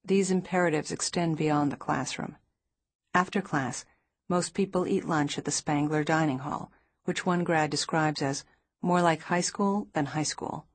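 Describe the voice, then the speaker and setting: A woman narrating a nonfiction book in a measured, even reading style. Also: sits low, husky, dry recording. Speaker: audiobook narrator.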